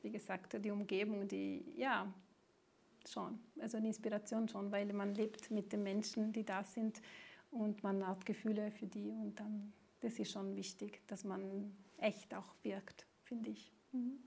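A woman with an Italian accent, a native Italian speaker, answering interview questions about her songwriting, pausing often to search for words. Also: mid-range voice, little pitch movement, gravelly, a little mellow, slightly rough. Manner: hesitant, searching for words, many fillers.

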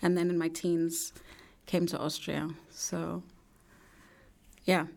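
A woman, a guest speaking reflectively in a studio interview, pausing thoughtfully. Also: on the low side, level tone, creaky, darkish tone. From England. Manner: reflective, measured, with thoughtful pauses. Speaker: artist and poet guest.

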